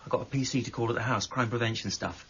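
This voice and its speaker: A man's voice: medium pitch, steady tone, quick pace, fairly bright tone, breathy edge, highs cut off. An actor playing a keen, feeling police sergeant, a junior man in a radio crime drama. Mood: keen, earnest.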